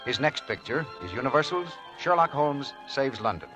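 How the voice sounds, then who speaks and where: A man's voice: mid-range voice, sing-song, moderate pace, rough recording. A man announcing from a script in 1940s radio style over orchestral music.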